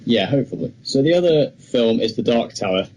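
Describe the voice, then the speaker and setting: A man's voice: medium pitch, animated, brisk, a little mellow, a little hiss or hum, low-quality recording. A young man co-hosting a podcast, talking casually and chattily about films with firm opinions. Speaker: podcast co-host. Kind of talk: casual film discussion.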